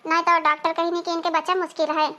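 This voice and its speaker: A woman acts out a worried middle sister-in-law from an Awadhi cartoon comedy. The voice is extremely high and sharply bright, chatty and pleading, with an Awadhi village accent. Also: flat delivery, rapid-fire. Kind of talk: cartoon family comedy dialogue.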